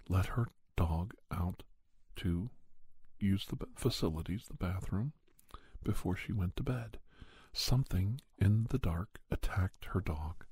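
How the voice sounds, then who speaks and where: Guy acting as a monster investigator doing asmr storytelling, soft deep mellow voice, hushed and halting, close dry sound. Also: slightly rough, dry recording, exaggerated voice. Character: male paranormal investigator doctor recounting monster cases, unhurried. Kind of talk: ASMR storytelling, soft-spoken first-person narration.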